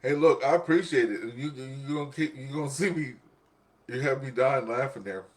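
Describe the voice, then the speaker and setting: A man's voice: mid-range voice, normal speed, slight background noise. A man hosting an amateur radio net in a casual, rambling, chatty way, often repeating himself. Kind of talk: casual ham radio chat.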